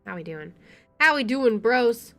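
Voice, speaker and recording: A woman's voice: fairly high pitch, animated, unhurried, bright, forceful tone, soft background music. A woman playing through a game, reading its narration aloud with wry, sweary casual commentary. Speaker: let's player.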